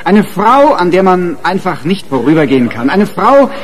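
Animated, charming party toastmaster. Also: male voice, high voice, normal speed. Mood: jovial, declamatory. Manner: grandiose, teasingly emphatic speechmaking. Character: convivial, charming male party guest giving a toast. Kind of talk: radio drama party speech.

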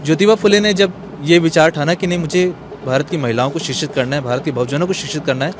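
A man's voice: on the high side, quick pace. A man in his thirties explaining himself as an interview guest, recorded outdoors on a handheld microphone. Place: outdoors on a bench in a courtyard. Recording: outdoor street noise, traffic behind.

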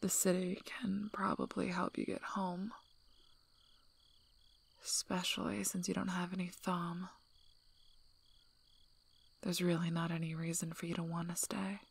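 Husky, half-whispering female werewolf alpha, acted by a woman. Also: lowish voice, level tone, unhurried, pauses often, full character voice. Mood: low, softening. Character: gruff, guarded female werewolf pack alpha, wryly protective. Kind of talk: soft-spoken ASMR role-play.

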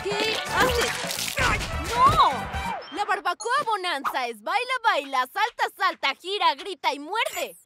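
A woman's voice: extremely high pitch, expressive, slow pace, loud music behind the voice, exaggerated voice. A woman voicing a bossy, demanding little girl in a children's cartoon.

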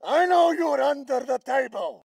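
An actor playing a brutal, threatening Russian soldier in a shooter game, with a Russian accent. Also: male voice, very high voice, a little slow, gravelly, a little mellow, dry recording, shouting, full character voice. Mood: enraged, taunting. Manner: threatening, taunting. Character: brutal, menacing Russian soldier hunting a child. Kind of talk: combat voice lines for a shooter game.

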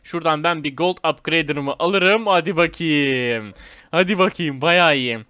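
A man giving casual, excitable gameplay commentary in informal slang, talking straight to his viewers. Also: fairly high pitch, a little slow, clear, resonant tone, no echo, clean studio sound. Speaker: gaming video host.

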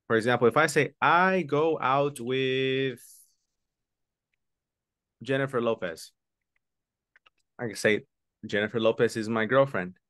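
A man teaches English over a video call, speaking slowly and patiently and repeating each word for his class. His voice sits at a middle pitch, and the sound is dry and close to the mic. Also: a bit bright, no echo. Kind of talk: online English lesson, explaining in English and Spanish. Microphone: video call webcam.

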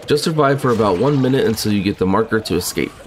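A man narrating a scripted game walkthrough in a brisk, instructional way. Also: on the low side, animated, a little hiss or hum. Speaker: gaming video guide host.

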